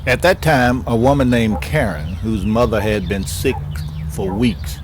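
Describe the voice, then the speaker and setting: A man's voice: medium pitch, unhurried. A man narrating an audio drama from a script, announcing characters and scenes. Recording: clearly noisy homemade recording.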